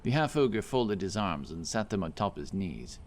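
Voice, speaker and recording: A man's voice: sits low, very animated, moderate pace, a little hiss or hum. A man narrating a scripted fantasy story as an audiobook reader. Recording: clear music bed behind the voice. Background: background music.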